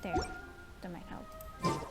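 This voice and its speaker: A woman streaming a game playthrough, reacting casually with playful, muttered asides. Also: moderate pace. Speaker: gaming streamer. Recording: game music behind the voice.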